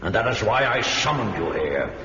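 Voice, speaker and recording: A man's voice: fairly low pitch, sing-song, normal speed, bright, forceful tone. An actor playing a desperate king who begs heroes to rescue his daughter, grave and regal. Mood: pleading. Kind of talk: radio drama acting. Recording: echoey hall, dull lo-fi audio, faint hiss.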